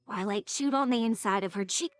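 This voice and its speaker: A woman's voice: medium pitch, a little fast. A synthetic-sounding woman's voice reading scripted story narration.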